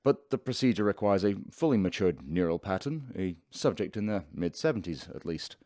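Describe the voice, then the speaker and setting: An actor playing a self-satisfied, pompous professor in a sci-fi radio drama, speaking in wordy sentences. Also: male voice, low-pitched, expressive, fairly quick, darkish tone, dry recording, clean studio sound. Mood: self-important. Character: self-satisfied male professor, a scientist aboard a spaceship.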